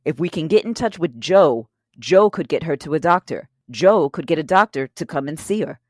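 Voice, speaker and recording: A woman's voice: sits low, animated, moderate pace, dry recording, studio quality. A woman playing a loyal, hot-tempered robber wracked with guilt in an audio drama, speaking in a blunt, earnest, profane way. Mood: grave, insistent. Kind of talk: audio drama crime acting.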